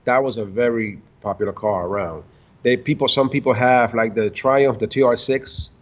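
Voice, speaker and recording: A man in his fifties, born in the Dominican Republic and raised in Puerto Rico, talking as a car-builder guest in a casual interview, halting and full of restarts. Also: medium pitch, fairly even intonation. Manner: halting, many restarts, laughs between stories. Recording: dull lo-fi sound.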